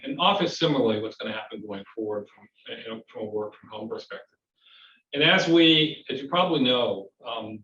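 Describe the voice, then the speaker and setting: A man with a fairly low voice presents financial results as an executive. He sounds some distance from the microphone in an echoing room. His delivery halts and doubles back on words as he works through his points. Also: fairly bright tone. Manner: hesitant, halting delivery with repeated words. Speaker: company executive presenting an earnings call. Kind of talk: semi-scripted investor presentation. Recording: roomy, distant, dull conference-call audio, faint noise.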